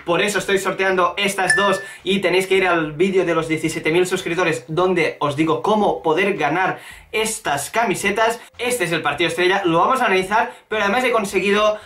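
An animated, chatty man with an accent from Spain, introducing a football vlog as its host. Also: high-pitched, a little fast. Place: small room at home, indoors. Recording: echoey room.

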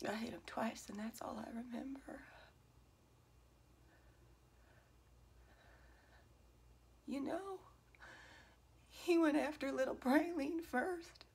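A woman's voice: sits high, measured pace. A woman performing a dramatic monologue as a distraught, guilt-ridden single mother. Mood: anguished, angry. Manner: hushed and breathy, breaking into anguished outbursts.

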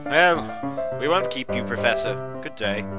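A man playing a jaunty, self-important gentleman explorer in a comedic radio drama, with genteel, blustering politeness. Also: medium pitch, lively intonation, a little slow, loud music behind the voice, natural voice. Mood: chummy, polite.

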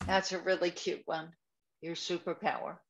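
A woman's voice: fairly low pitch, level tone, normal speed, lo-fi sound. A woman chatting on a group video call, casual and prone to trailing off while she searches for names.

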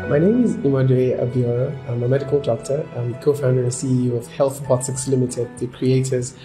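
A man's voice: mid-range voice, fairly even intonation, a little fast, warm tone. A man introducing himself matter-of-factly as a founder. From Nigeria. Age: 20s. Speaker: startup founder and medical doctor. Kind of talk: scripted self-introduction. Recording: echoey room with music underneath.

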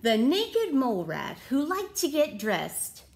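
Animated, high-pitched woman reading a picture book. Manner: deliberate, theatrical emphasis, asks the listeners questions. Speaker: read-aloud storyteller. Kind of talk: expressive picture-book read-aloud for children. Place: small room at home.

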